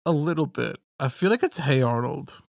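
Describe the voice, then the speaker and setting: Casting: male co-host, mid-range voice, dry close recording, halting and filler-heavy. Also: medium pitch, expressive, vocal fry, no echo. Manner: hesitant, lots of fillers like "uh" and "like". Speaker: podcast co-host. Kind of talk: casual music podcast chat.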